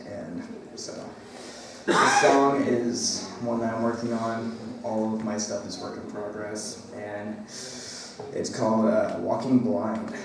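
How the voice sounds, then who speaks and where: A man's voice: on the low side, steady tone, slow, background noise. A young man chatting casually from an open mic stage before his song, rambling and hesitant with lots of "like"s. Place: small gallery room, indoors. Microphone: stand mic, filmed by a distant camera. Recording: echoey room from a distant camera, guitar.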